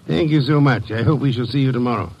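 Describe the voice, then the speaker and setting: An actor playing a shrewd, courtly detective boss in an old radio drama, speaking in formal, precise, deliberate phrases. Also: male voice, mid-range voice, highs cut off, music in the background, close to an everyday voice. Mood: courteous, probing.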